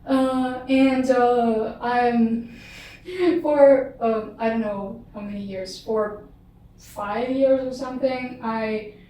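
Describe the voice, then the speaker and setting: A woman's voice: fairly high pitch, fairly even intonation, slow pace, mellow, slight background noise. A young woman who is a native Russian speaker, a podcast guest talking hesitantly with many fillers. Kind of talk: casual chat. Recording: echoey room, far from the mic.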